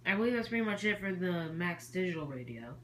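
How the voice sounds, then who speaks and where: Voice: medium pitch, very animated, quick pace, a bit bright. Someone giving a casual, rambling show-and-tell of a collection, often trailing off and correcting themselves, recorded on homemade camera audio. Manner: hesitant, trailing off and self-correcting. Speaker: YouTube hobbyist presenting a collection. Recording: homemade camcorder audio with noticeable background noise.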